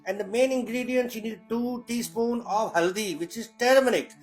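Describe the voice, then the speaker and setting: A man's voice: very high-pitched, moderate pace, soft background music. Swearing Yorkshire man in a kitchen. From Yorkshire, England. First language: Indian heritage. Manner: crude, swearing, jokey and blunt. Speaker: cooking show host. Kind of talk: sweary comic recipe demo. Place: home kitchen.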